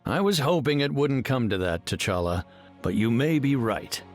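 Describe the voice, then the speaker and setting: An actor playing a brilliant, earnest middle-aged superhero scientist in a video game, with measured, formal, articulate speech. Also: male voice, medium pitch, big pitch swings, faint music behind, lightly acted. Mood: grave, thoughtful.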